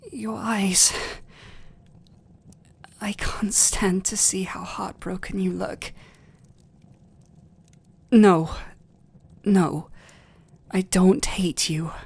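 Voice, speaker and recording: A man playing a noble, restrained vampire lord in an intimate ASMR roleplay, with a British accent and formal, hesitant, breathy delivery. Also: sits high, exaggerated voice. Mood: pleading, tender. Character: noble vampire lord, restrained, tormented, tender toward servant.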